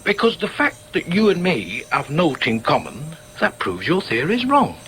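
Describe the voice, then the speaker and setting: An actor playing a proud, argumentative middle-aged socialist shop steward in a radio drama, with a Northern English accent and an emphatic, halting delivery. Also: male voice, fairly high pitch, wildly swooping pitch, fairly bright tone, a little hiss or hum. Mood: argumentative, insistent. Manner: emphatic, halting repetitions, muttered inner asides. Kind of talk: naturalistic radio drama with inner asides.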